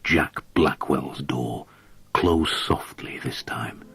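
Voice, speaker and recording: Very deep, husky male story narrator. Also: a bit bright, soft background music. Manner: measured, dramatic reading that drops to a whisper at grim moments. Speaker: audiobook narrator. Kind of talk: scripted narration of a haunting story.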